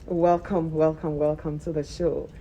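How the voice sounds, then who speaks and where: Mellow low-voiced woman hosting a talk show. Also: a little slow, slight background noise, poor audio. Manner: animated, repeats words for emphasis.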